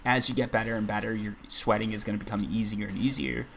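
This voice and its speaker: Raspy, gravelly low-voiced man chatting. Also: flat delivery, fast talker, fairly bright tone, a little hiss or hum. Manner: rambling, self-deprecating, chatty. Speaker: gaming channel host. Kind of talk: casual gameplay commentary.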